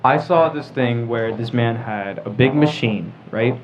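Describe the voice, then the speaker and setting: A man's voice: medium pitch, measured pace, crowd noise. A teenage male podcast host leading a casual group discussion, often checking in with "right?" as he explains and prompts. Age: teens. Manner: prompting with frequent "right?" tags. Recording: dull lo-fi audio, background noise.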